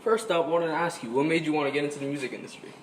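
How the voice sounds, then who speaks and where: A very quick, businesslike 1920s radio host acted by a man moves the show along with folksy period slang. The recording is amateur, with light noise behind the voice. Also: on the high side, fairly even intonation, close to an everyday voice. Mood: upbeat.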